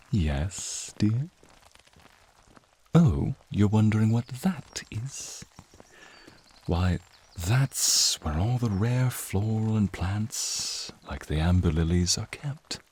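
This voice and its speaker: A man playing a suave, courtly naga prince in an ASMR fantasy roleplay, speaking softly and breathily straight to the listener. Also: fairly low pitch, heavily acted. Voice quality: soft, breathy, husky. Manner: teasing, courtly, drawn-out phrasing. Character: flirty serpentine naga prince, suave, protective, wistful beneath.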